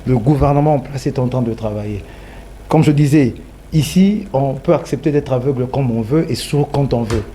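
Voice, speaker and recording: A man with a Congolese accent making a measured, reassuring argument in a political debate. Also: medium pitch, mellow, a little hiss or hum. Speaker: debate guest.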